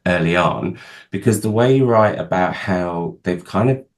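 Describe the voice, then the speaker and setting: A man's voice: low voice, moderate pace. A man hosting a book channel who interviews an author he admires over a video call, asking long, gushing questions.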